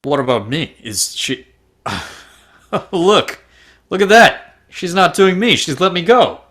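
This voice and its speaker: Blunt, animated hostile passenger acted by a man. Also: a little high, slow pace, exaggerated voice. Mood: suspicious. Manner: accusing, confrontational. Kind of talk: amateur online script table read. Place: remote video call.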